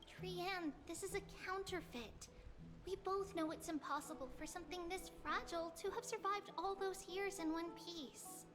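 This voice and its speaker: A woman's voice: very high voice, normal speed. An actress playing a small, earnest, childlike girl in a fantasy video game. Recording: game music behind voice.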